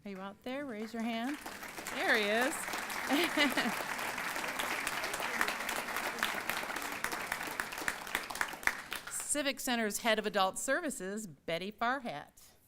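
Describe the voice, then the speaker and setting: A woman's voice: a little high, lively intonation, very slow, pauses often, over a noisy crowd, very noisy. A woman, a library director, welcoming guests and announcing names at a dedication ceremony. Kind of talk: ceremony speech.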